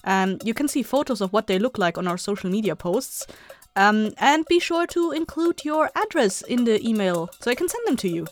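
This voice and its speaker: A woman's voice: a little high, expressive, normal speed. A woman podcast host reading a scripted announcement to listeners. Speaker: show host and voice actor.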